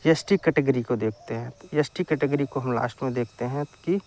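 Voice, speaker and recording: A man's voice: mid-range voice, a little fast, a little mellow. A man giving an informal explainer to viewers, repeating his phrases for emphasis. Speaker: exam-news YouTuber.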